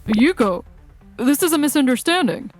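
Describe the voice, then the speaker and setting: Woman acting a flustered, high-voiced whiny father. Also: animated, normal speed, soft background music. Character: whiny, cowardly divorced father, lazy and pleading. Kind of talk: manga dub dialogue.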